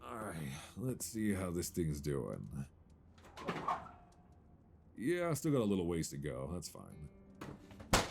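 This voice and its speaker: A man's voice: deep voice, huge pitch swings, a little slow, gravelly, over music, heavily acted. A man playing a playful, easily flustered old friend in an intimate, conversational romantic audio roleplay, teasing and stammering as he chats. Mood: casual, easygoing. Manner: teasing, stammering, chuckling banter.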